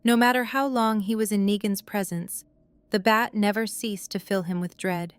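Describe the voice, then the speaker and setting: A woman's voice: medium pitch, steady tone, moderate pace, clear, resonant tone, dry recording. A woman narrator reading scripted dramatic prose for an audio drama.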